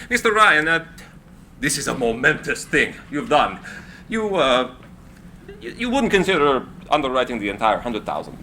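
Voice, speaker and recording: A man's voice: on the high side, pushed and bright, slight background noise, exaggerated voice. A man acting an eccentric inventor in a live script reading, eager, courtly and theatrical.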